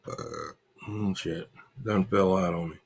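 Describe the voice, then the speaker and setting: A man hosting a casual livestream, chatting with viewers in a halting, repetitive way and muttering asides to himself. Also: deep voice, fairly even intonation, breathy edge. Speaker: livestream host.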